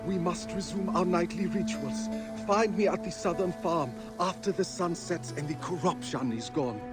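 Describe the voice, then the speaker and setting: A man's voice: high voice, normal speed, loud music behind the voice, natural voice. An actor playing a devout male sun-cult leader who chants hymns and speaks with solemn fervor in a video game. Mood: pleading. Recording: game audio with loud score.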